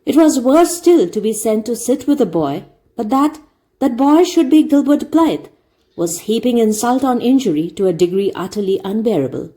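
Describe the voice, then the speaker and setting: Mellow, animated woman narrator. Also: a little high, normal speed. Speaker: storyteller, narrator. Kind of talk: expressive audiobook read-aloud narration.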